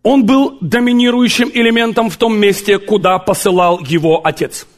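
A man preaching a sermon, joking and animated, with sudden shouts and laughter. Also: high-pitched, normal speed.

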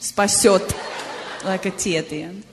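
A woman's voice: medium pitch, fairly even intonation, measured pace, crowd noise. A woman interpreting a sermon phrase by phrase, following the preacher closely. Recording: long hall reverb, faint noise.

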